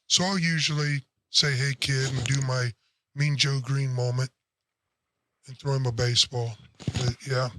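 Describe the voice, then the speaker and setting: A man co-hosting a casual sports podcast, blunt and opinionated, with a deep throaty voice and casual cursing. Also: fairly even intonation, unhurried, sharp tone, no echo.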